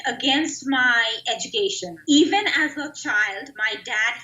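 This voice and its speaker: A young woman from Bangladesh, a guest in an interview, talking haltingly about her life with many "I mean" fillers. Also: high-pitched, sharp tone, echoey room, muffled recording. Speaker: guest, activist. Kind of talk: personal interview.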